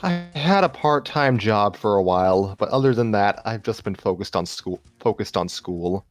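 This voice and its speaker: A man's voice: lowish voice, lively intonation, moderate pace, dry recording, soft background music, close to an everyday voice. Man reading as a subdued, downcast young woman student. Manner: subdued, hesitant, wry. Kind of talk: story character reading.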